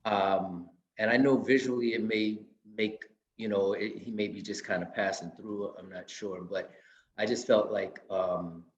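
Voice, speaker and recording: A man's voice: lowish voice, fairly even intonation, a little slow. A male actor giving tentative, roundabout feedback in a question-and-answer discussion. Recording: echoey, dull lo-fi sound.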